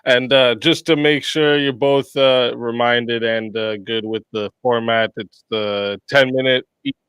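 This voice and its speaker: A man's voice: medium pitch, steady tone, a little slow, a bit bright, clear, resonant tone, dry recording. A man with a nasal voice hosting a stream, chatting casually, laughing often and joking about himself. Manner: laid-back, laughs often, self-deprecating. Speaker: stream host and debate moderator. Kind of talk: casual chat.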